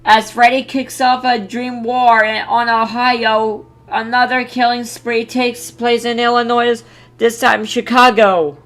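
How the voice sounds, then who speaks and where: A woman giving a casual live reaction, excitable and exclaiming, sometimes reading haltingly. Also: on the high side. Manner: excitable, exclaiming, reads on-screen text aloud haltingly. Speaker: streamer reacting to a video.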